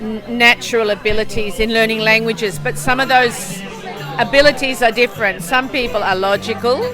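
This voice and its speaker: A woman's voice: a little high, fairly even intonation, normal speed, music in the background, crowd noise. A woman with an Australian accent, a university language teacher, explaining at length in a casual interview.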